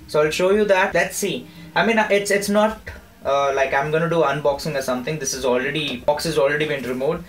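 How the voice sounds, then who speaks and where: A man's voice: on the high side, fast talker. A man with a South Indian accent chatting casually as he gives a hands-on installation walkthrough in a homemade vlog. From Bangalore, India. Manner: chatty, mixes in Kannada phrases. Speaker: motorcycle vlogger.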